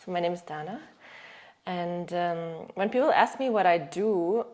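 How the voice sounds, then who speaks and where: A woman in her thirties from Germany, a yoga teacher chatting casually in a podcast interview. She speaks in a slightly low voice and often stops to search for words or restart a phrase. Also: normal speed, darkish tone.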